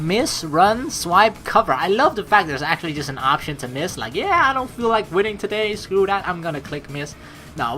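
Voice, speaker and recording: A woman streamer reading a game's narration aloud, mixed with casual, expressive commentary. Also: mid-range voice. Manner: expressive, animated asides. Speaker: let's play streamer.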